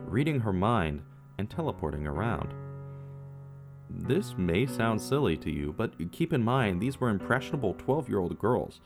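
A man giving scripted true crime narration, measured, with casual asides to the viewer. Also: sits low. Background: faint guitar music.

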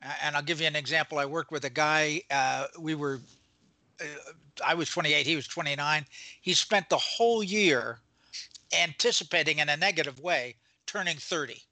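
A man's voice: on the high side, normal speed, pushed and bright, dry recording. An older man chatting casually as the host of a talk show. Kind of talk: casual chat.

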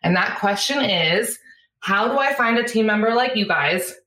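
Bright-voiced woman in a roomy space. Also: medium pitch, moderate pace, far from the mic. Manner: excited and friendly. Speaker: team integrator. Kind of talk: upbeat podcast intro.

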